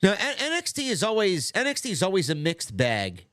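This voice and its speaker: Man, nasal and congested, livestream host, blunt, swearing, animated, fairly high voice. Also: fairly quick, no room sound, clean studio sound. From New York. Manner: blunt, swears a lot, restarts often. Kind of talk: casual watch-along chat.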